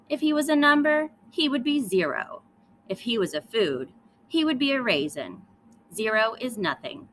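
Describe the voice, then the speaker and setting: Storybook big-sister mouse, acted by a woman: small, bossy, indignant, highly animated intonation, slightly noisy recording. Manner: theatrical, indignant, imperious delivery. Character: bossy, jealous little girl mouse, dramatic big sister. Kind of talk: children's storybook read-aloud.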